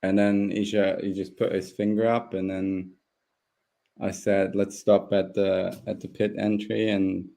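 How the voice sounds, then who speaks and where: A young man whose first language is German, with a low, dark, mellow voice. He rambles through a relaxed live chat, restarting sentences and leaning on fillers. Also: a little slow, gravelly. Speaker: guest speedway rider. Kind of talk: casual live interview.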